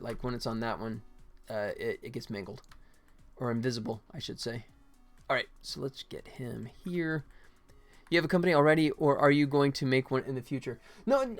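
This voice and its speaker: Chatty man livestreaming over prominent music. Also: medium pitch. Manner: chatty, rambling, thinking aloud. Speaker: livestream host and solo indie game developer. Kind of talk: casual livestream chat while working.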